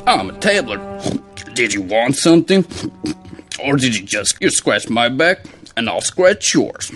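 Bright, brash sleazy pig hustler. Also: male voice, mid-range voice, very animated, measured pace, faint music behind. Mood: sleazy, wheedling. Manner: slangy, wheedling, cocky. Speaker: game character, sleazy informant. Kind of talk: cartoon game character acting.

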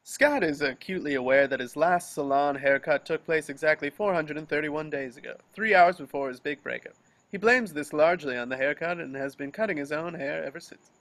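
A man's voice: a little high, a little fast, fairly bright tone. A man narrating the action lines of a film script at an amateur table reading. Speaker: reader of stage directions. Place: remote video call.

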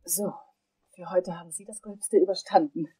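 A composed dental assistant speaks in a mellow, fairly low voice that is very breathy. She is polite, soft and measured, in the style of a crime radio play. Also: steady tone. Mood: calm, instructing. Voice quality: husky, breathy. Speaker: actress. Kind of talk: crime audio drama dialogue.

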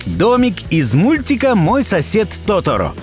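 A man's voice: high voice, huge pitch swings, a little slow. A man reading a scripted, upbeat list-video narration in an enthusiastic, emphatic way. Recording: voice-over with music bed. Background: background music.

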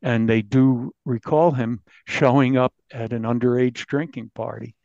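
A man speaking as an investigative journalist in a podcast interview, recounting findings with halting false starts. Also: mid-range voice, fairly even intonation, gravelly, darkish tone, no echo, dull audio.

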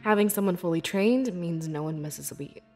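A woman playing a young, devoted beast-folk scribe in a fantasy video game, with earnest, measured and expressive delivery. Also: fairly low pitch, soft background music. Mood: explanatory, friendly. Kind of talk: scripted video game character dialogue.

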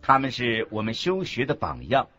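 A man reading scripted religious teaching as a narrator, in a deliberate, instructive manner. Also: mid-range voice, huge pitch swings, pushed and bright. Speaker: narrator of a Buddhist teaching radio program. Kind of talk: scripted didactic narration in a radio program. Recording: muffled lo-fi audio with music underneath. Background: background music.

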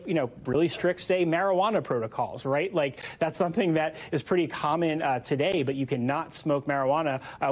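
An American man in his thirties, a reporter explaining things conversationally in a live news interview with loose, informal phrasing. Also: mid-range voice. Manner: informal, loosely hedged phrasing with "sort of" and "you know". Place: TV news studio.

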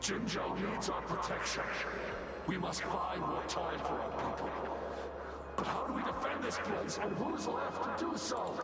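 A man's voice: sits high, a little slow, long pauses. An actor playing a young male soldier's ghost, speaking urgently. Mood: worried. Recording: game audio with loud music.

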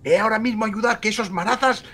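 A man's voice: rapid-fire, bright, forceful tone, a little hiss or hum. A man putting on the voice of a bossy elderly woman who nags and scolds. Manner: shrill and nagging.

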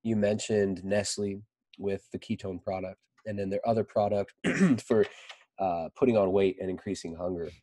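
Low-voiced rambling man on a call. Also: normal speed, warm tone, no room sound. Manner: rambling, loose, heavy on "like" and "you know". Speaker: podcast guest. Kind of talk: casual conversation. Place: indoor room. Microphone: video call, desk mic.

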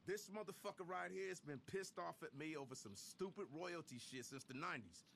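An actor playing a commanding middle-aged American music mogul in a video game, blunt and profane. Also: male voice, a little high, expressive, fairly quick, gravelly, fairly bright tone, slight background noise. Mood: angry, commanding. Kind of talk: video game cutscene acting.

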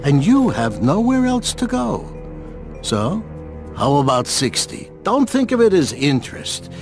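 An actor playing a shrewd older loan shark in a video game, measured and courteous with a threatening edge. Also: male voice, a little high, sing-song, music in the background. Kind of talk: video game cutscene acting.

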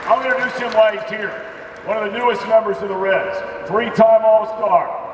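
A man's voice: high-pitched, steady tone, normal speed. A man emceeing a fan event, introducing a panel with upbeat, rallying announcer energy, recorded from the audience in a large museum hangar. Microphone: distant camera in the audience. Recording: roomy hangar echo, far from mic, crowd noise.

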